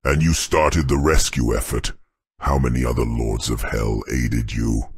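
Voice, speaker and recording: Huge imperious demon lord for an audiobook, insanely low husky booming voice, pompous, dry sound. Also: male voice, level tone, no echo, heavily acted. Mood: accusing, stern. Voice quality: very deep, booming. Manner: slow, pompous, regal. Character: Satan, vast demon king, imperious and vengeful. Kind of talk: audiobook character acting.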